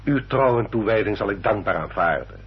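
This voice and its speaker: An actor playing a courtly, insistent gentleman suitor in a radio play, formal and emphatic. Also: on the low side, animated, a little fast, slightly rough. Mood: courteous, insistent. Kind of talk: Dutch radio play acting. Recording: dull, noisy old radio recording.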